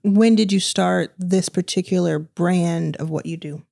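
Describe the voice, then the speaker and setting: A woman with a mellow voice hosts an informal podcast interview. She is curious and affirming as she talks, and the recording is dry with hardly any room sound. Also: lowish voice, measured pace, vocal fry, no room sound. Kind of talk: casual conversational interview.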